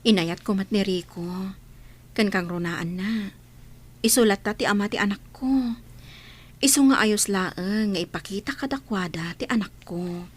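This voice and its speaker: A woman playing a wistful, respectful young single mother in a radio drama, with soft, heartfelt delivery. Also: medium pitch, measured pace, long pauses, husky.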